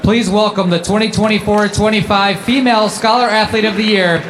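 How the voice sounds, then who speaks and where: A man introduces an award winner at a ceremony, reading a formal script in a measured, announcing way. His voice sits high for a man. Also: fairly even intonation, fairly bright tone, slight background noise, low-quality recording. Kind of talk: formal introduction read aloud.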